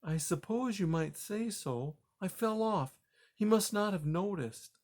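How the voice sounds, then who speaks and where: A man voicing a calm elderly grandmother mouse who speaks softly and matter-of-factly. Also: sits high, a little slow, voice pushed up. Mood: gentle. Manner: soft, gentle, matter-of-fact.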